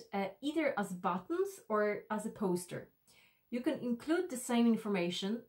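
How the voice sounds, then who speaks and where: A woman in her thirties presenting an instructional tutorial to camera, explaining step by step in a deliberate, slightly hesitant way. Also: mid-range voice. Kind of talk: instructional screencast walk-through to camera.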